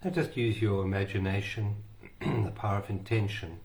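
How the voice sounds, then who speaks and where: A man guiding a meditation in a slow, soothing way with long pauses. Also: on the low side, fairly even intonation, vocal fry, darkish tone, slight background noise. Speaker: meditation guide. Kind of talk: guided meditation.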